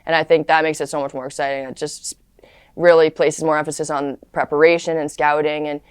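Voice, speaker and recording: A woman's voice: sits low, level tone, a little fast, clear, resonant tone, no echo, studio quality. A woman college lacrosse player answering interview questions in an earnest, casual way.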